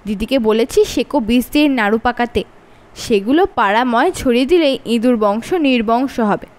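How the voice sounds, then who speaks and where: A woman's voice: on the high side, moderate pace, darkish tone, lightly acted. A woman with a Bengali accent voicing a stern, teasing middle-aged uncle in a children's story. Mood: mock grave.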